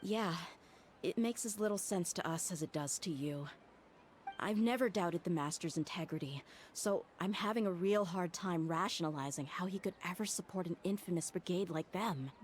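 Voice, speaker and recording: A woman's voice: on the low side, normal speed, slight background noise, lightly acted. A woman voicing a blunt, loyal female mercenary bodyguard in a game, frank and casual in her speech. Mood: earnest. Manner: frank and casual, with heavy sighs.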